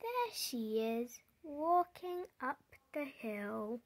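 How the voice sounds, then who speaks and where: Slow, high-pitched storybook reader. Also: very animated. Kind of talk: slow picture-book read-aloud with questions to the listener. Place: indoors, book held before the camera.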